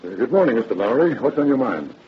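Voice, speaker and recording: Man playing a brisk, fast-talking police captain. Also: medium pitch, sing-song, natural voice. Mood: probing. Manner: matter-of-fact, clipped questioning. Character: brisk, businesslike, courteous male police detective captain. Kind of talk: old-time radio drama acting. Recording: muffled, noisy old radio drama recording.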